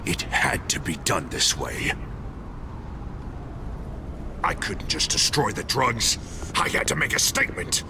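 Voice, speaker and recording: An actor playing a self-righteous, menacing and unstable city mayor, with a gravelly voice and grandiose delivery in a video game drama. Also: male voice, mid-range voice. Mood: fervent. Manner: grandiose, forceful declarations.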